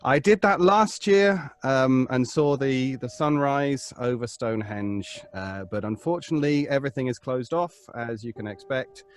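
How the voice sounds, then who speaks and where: A man's voice: medium pitch, normal speed, few pauses. An English man chatting casually on a live stream as a cast member, wry and affable as he explains things.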